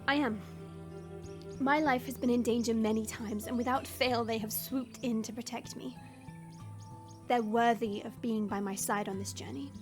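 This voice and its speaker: A proud young princess, acted by a woman, speaks in a high, regal voice with formal, haughty resolve. It is fantasy audio drama, set over clearly audible music. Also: steady tone, moderate pace, natural voice. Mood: determined, solemn. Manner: formal, commanding.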